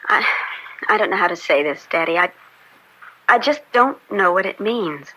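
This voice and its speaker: An actress playing a devoted, anxious young daughter in a radio drama, speaking hesitantly and pleadingly. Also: female voice, mid-range voice, animated, moderate pace, poor audio. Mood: hesitant, troubled. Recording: bright old-time radio recording.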